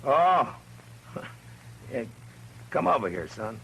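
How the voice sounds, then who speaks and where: Stern frontier lawman, American accent, blunt, commanding, middling pitch, hissy old radio Western. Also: sing-song, slow, vocal fry, exaggerated voice. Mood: amused, gentle. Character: stern, authoritative Old West US marshal. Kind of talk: Western radio drama acting. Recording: noisy vintage radio recording.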